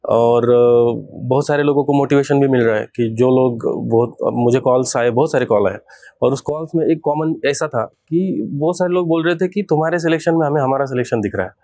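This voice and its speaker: A man's voice: mid-range voice, a little fast, mellow, no echo. A man, earnestly telling his own story as an interview guest, mixing in English words. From rural Maharashtra, India. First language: Marathi. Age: 30s.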